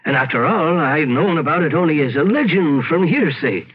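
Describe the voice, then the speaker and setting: An actor playing a grave older man solemnly recounting a family legend in old-time radio drama style, with a husky voice. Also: fairly high pitch, animated, moderate pace, slight background noise, close to an everyday voice. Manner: solemn storytelling. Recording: dull old radio broadcast.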